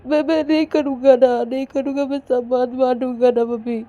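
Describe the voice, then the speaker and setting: Woman voicing a tearful, pleading, high-pitched young friend. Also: flat delivery, moderate pace, mellow, highs cut off, close to an everyday voice. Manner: stammering, repetitive, whining pleas. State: crying. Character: distraught young person, pleading childishly beside unconscious friend.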